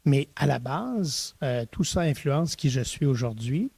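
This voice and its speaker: A man's voice: medium pitch, animated, unhurried, vocal fry, a little mellow, breathy edge, no room sound. A middle-aged man from Eastern Ontario, a university rector telling his story in an interview, with many restarts and hesitations. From Eastern Ontario, Canada. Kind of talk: reflective conversational interview.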